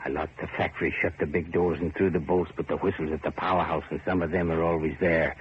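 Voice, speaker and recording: An actor playing a grim, sardonic factory overseer in an old radio horror drama, terse, ominous and taunting. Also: male voice, a bass voice, steady tone, fast. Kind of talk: 1940s radio horror acting. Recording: muffled old radio recording with hiss.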